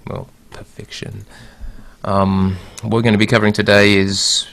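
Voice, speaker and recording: A man's voice: fairly low pitch, flat delivery, moderate pace, gravelly, fairly bright tone, dry recording. A man co-hosting and producing a podcast, talking in a laid-back, wry way. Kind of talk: casual chat.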